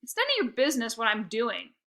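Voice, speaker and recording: A woman's voice: on the high side, huge pitch swings, a little fast, pushed and bright, full character voice. A woman reading a children's book, voicing a curt, snappish and scornful older sister. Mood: irritated. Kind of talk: children's book character dialogue.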